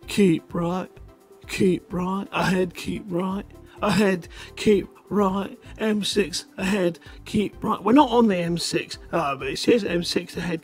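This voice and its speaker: A man in his thirties hosting a live show on a headset mic, reading short stories with a drawn-out dramatic delivery between bits of banter. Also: high voice. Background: music in the background.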